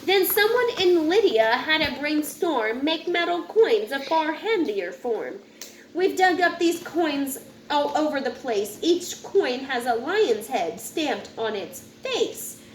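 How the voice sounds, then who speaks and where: A woman reading a rhyming children's picture book aloud in an expressive, sing-song way, occasionally stumbling over a word. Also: high-pitched, slow pace. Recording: roomy echo with faint background noise.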